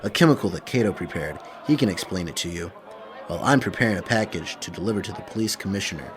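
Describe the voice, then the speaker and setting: A man playing a cool, bold masked vigilante in a radio drama, brisk and commanding. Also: sits low, lively intonation. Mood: assured.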